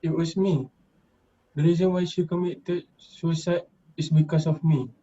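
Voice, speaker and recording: A man playing a guilt-ridden, subdued male student confessing haltingly in amateur reader's theater, on a dull lo-fi recording. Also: fairly high pitch, steady tone, warm tone. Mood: subdued confession.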